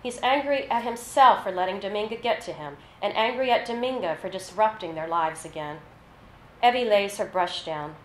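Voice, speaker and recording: A woman reading literary fiction aloud at a live event, with a measured, narrative delivery. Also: medium pitch. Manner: measured, scripted narration with read-out dialogue. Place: live reading in an echoey venue. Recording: roomy echo, faint background noise.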